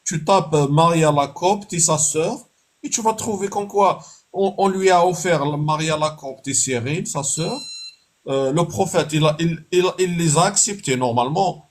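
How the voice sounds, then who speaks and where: A man with a somewhat high voice argues bluntly over an online live-stream call. He is dismissive and indignant, and he cuts in to press his point. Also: normal speed. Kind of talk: heated casual debate.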